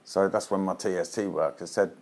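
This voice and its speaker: Deep-voiced man, frank and streetwise. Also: steady tone, brisk, a little mellow. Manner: frank, streetwise, frequent "yeah?" tags. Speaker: interviewee. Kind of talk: candid interview. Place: indoor flat.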